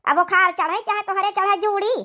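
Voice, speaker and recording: Woman voicing shrill, scolding Awadhi mother-in-law. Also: steady tone, brisk, sharp tone. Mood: scornful. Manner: mocking, scolding. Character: scornful, nagging village mother-in-law. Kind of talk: comedy cartoon voice acting.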